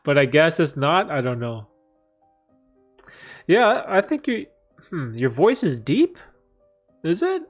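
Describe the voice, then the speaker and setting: A man's voice: on the high side, sing-song, takes it slowly, long pauses, soft background music. A man hosting a casual livestream Q&A from a room with a bookcase, rambling with many fillers and restarts. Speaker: livestream host answering viewer questions. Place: room at home with a bookcase behind him.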